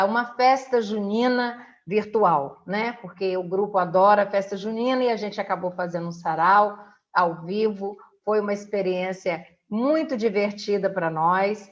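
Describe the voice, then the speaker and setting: A middle-aged woman from the of Rio de hosts a conversational interview from home, often halting to search for words. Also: medium pitch, highs cut off. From Brazil. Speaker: interviewer and host. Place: indoors at home.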